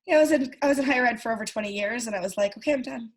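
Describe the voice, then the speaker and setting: A woman's voice: on the high side, racing. A woman in her early fifties hosting a video call interview, friendly and chatty, laughing easily. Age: 50s. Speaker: host.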